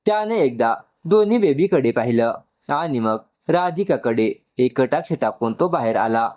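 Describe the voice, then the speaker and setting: A man's voice: mid-range voice, animated, moderate pace, highs cut off. A man narrates a scripted story and acts out its dialogue.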